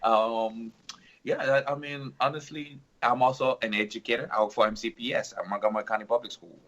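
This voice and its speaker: A man's voice: medium pitch, steady tone, fairly quick, fairly bright tone, muffled recording. A man from Haiti whose first language is Haitian Creole, a guest on a casual podcast, talking in a friendly, rambling way and laughing often. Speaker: guest, fitness instructor.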